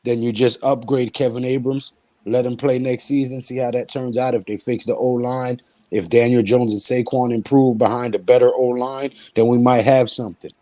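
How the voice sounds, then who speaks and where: A man's voice: medium pitch, steady tone, normal speed, dark tone, dry recording. A man co-hosting a casual sports livestream, talking bluntly and joking crudely with friends. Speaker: livestream co-host. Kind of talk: casual sports stream chat.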